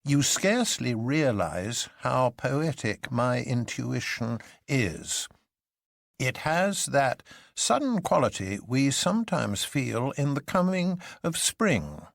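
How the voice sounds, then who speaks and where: A man voicing an excitable young poet in a story, improvising with nervous, hesitant bravado. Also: medium pitch, slow, creaky, no room sound, studio quality, pitched above the performer's own voice, full character voice. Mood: feverish certainty. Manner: hesitant, improvised delivery with ums and repeats.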